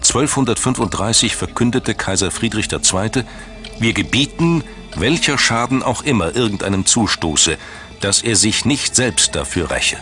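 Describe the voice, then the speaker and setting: Very deep-voiced male documentary narrator. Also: lively intonation, normal speed, sharp tone. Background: background music.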